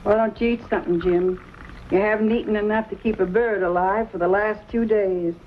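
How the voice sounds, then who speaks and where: A woman's voice: mid-range voice, fairly even intonation, a little fast, close to an everyday voice. Actress as worried, pious frontier mother, muffled sound. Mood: concerned. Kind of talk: Western drama acting. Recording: dull old soundtrack with faint noise.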